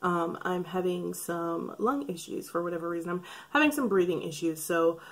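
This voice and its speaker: A woman in her thirties, a beauty vlogger, talking casually to camera in a chatty, wry way with a slightly raspy voice from breathing trouble. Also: fairly low pitch, moderate pace. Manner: chatty, wry, rambling asides. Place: bright room by a curtained window. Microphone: camera framed head and shoulders.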